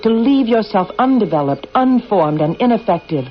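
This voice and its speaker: Over faint music and dull radio sound, a calm otherworldly being speaks in a high voice. The manner is measured and philosophical, coolly insistent, as in a vintage science fiction radio play. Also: male voice. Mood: persuasive. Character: calm, persuasive, manipulative alien being from another world. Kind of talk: 1980s radio drama acting.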